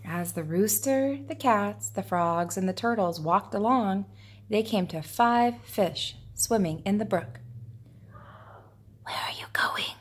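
A woman reading a children's picture book aloud in a deliberate, expressive storytelling manner. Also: mid-range voice, a little hiss or hum. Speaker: preschool teacher reading aloud.

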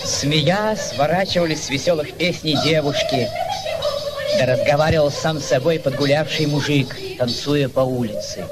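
An actor playing a rapt storyteller, narrating lyrically and declaiming with measured pauses in a radio play. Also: male voice, fairly high pitch, very noisy, close to an everyday voice.

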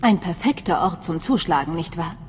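An actress playing a cool, businesslike imperial agent with clipped delivery in a video game. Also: female voice, on the low side, lively intonation, normal speed, darkish tone, natural voice. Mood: cool, focused. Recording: long reverb, faint music behind.

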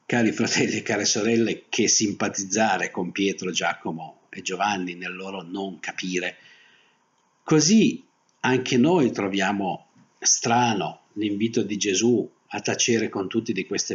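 Older man, pastor's sermon, fairly low voice, measured pauses, slightly lo-fi muffled audio. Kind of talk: Protestant sermon. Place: small indoor room, seated at a desk. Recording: dull, muffled webcam audio.

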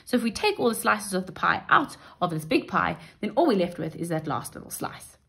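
A woman's voice: fairly low pitch, sing-song, brisk, creaky, fairly bright tone. A woman teacher talking through an exam paper at a desk, chatty and reassuring, often checking in with "right?" and "okay?". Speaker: maths teacher. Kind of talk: exam paper walkthrough lesson. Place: indoors at a wooden desk.

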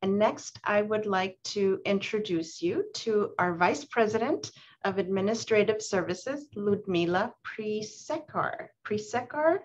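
A woman's voice: medium pitch, expressive, measured pace. Gracious woman host, slightly dull audio. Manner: friendly, gracious introductions. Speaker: event host. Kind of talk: hosting a video call event. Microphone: video call.

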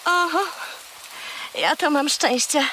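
A woman voicing a kind, slightly flustered young girl in a children's audio play, hesitant and gently bossy. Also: high-pitched, slow, a little hiss or hum, heavily acted, rough recording. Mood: gently scolding.

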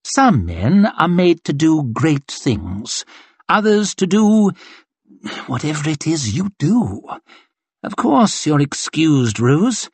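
Colonnello arrogante e beffardo, fatto da un uomo, voce un po' roca, acuta e teatrale. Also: male voice, on the high side, sing-song, slow, husky, no echo, pitched above the performer's own voice, heavily acted. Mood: mocking. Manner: sneering, theatrical, cutting. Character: arrogant, mocking male cavalry colonel.

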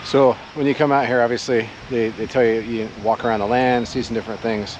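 A man in his forties talking casually to a handheld camera on a farm, relaxed and chatty. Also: medium pitch, brisk, muffled recording, slight background noise. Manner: relaxed, chatty, self-correcting. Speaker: travel vlogger. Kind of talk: casual vlog talk to camera. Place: outdoors on a farm and inside a trailer. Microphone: handheld action camera at arm's length.

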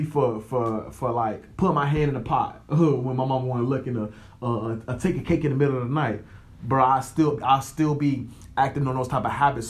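A man in his twenties with an American accent, hosting a podcast in a casual, slangy motivational monologue, often checking in with the listener. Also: mid-range voice. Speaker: podcast host.

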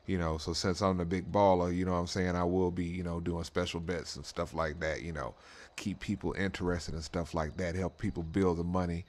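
A man's voice: sits low, flat delivery, fairly quick, darkish tone. A man giving casual, rambling gameplay commentary in a laid-back, slangy way, leaning on repeated tag phrases. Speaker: host of a racing roleplay channel.

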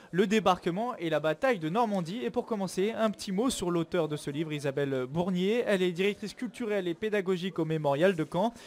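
A young man hosting a live radio show outdoors on a stand mic, announcing briskly with light banter. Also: high voice. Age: young adult. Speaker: radio host. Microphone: studio mic on stand with headphones.

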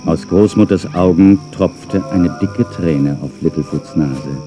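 A man narrating a scripted children's audio drama as a calm storyteller. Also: low-pitched, unhurried, faint music behind.

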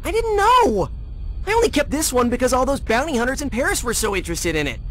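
A man playing an earnest, impulsive teenage boy hero in an animated video game. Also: very high-pitched, quick pace, full character voice. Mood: defensive.

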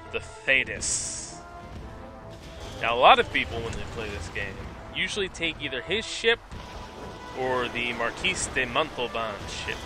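A man casually commentating a video game he is playing, joking and reading game text aloud in silly voices. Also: medium pitch. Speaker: let's play commentator. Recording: game music behind the voice.

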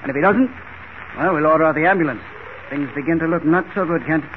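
An actor playing a harried, anxious airfield manager in an urgent, clipped 1940s radio style. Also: male voice, on the high side, fast talker, close to an everyday voice. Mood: tense. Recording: tinny, hissy 1940 radio recording.

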